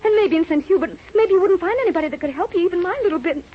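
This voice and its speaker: An actress playing a devoted, stubborn young wife, impassioned and insistent, in an old radio drama. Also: female voice, very high voice, expressive, rapid-fire. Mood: pleading. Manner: impassioned, insistent, stammering with feeling. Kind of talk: 1930s radio drama acting. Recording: muffled, noisy old radio recording.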